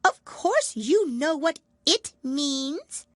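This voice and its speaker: Storybook mouse: squeaky-high, self-important, prickly schoolmaster manner, dry close recording. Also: female voice, big pitch swings, extremely slow, no echo, heavily acted. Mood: cross, pompous. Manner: pompous, authoritative, polite but cross. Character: self-important, easily offended mouse lecturing drily on history. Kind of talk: storybook character voice.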